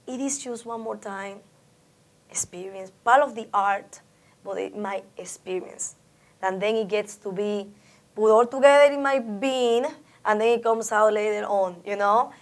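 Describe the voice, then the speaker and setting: A woman's voice: medium pitch, steady tone, moderate pace. A woman from the Dominican Republic with a Dominican Spanish accent, a Spanish speaker being interviewed about her art, reflective and often restarting her sentences. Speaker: artist interviewee. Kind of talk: oral history interview.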